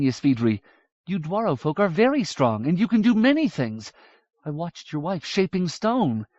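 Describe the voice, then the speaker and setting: A defiant young princess, her voice pitched up high and pressing hard, as a male narrator plays her. The recording is dull and dry, with no room around it. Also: no room sound. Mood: fierce. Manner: urgent, pleading and scolding.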